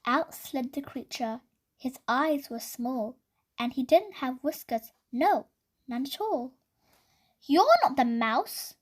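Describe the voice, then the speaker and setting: A woman narrating a children's bedtime story in an expressive, deliberate, dramatic read-aloud style. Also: high voice.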